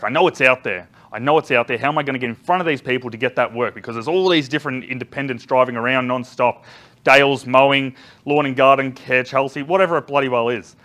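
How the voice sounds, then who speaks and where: Australian male trainer, 30s, loud, rambling storyteller with lots of ums, speaking live in a conference room, caught on a far camera. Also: medium pitch. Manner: loud, chatty storytelling with many ums and restarts. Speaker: business trainer. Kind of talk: live talk to a seated audience. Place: large conference room indoors. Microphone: far camera, no visible mic.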